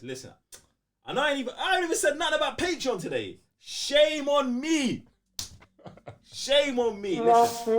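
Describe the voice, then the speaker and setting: Lively, emphatic London man with swinging intonation. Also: high voice. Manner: slangy, emphatic, repeats phrases. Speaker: podcast co-host. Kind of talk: casual gaming podcast banter.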